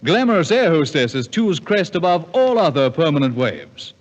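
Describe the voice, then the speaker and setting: Animated male radio announcer, reverberant. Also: on the high side, normal speed. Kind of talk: scripted 1950s radio advertising. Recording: dull 1950s radio recording, long reverb.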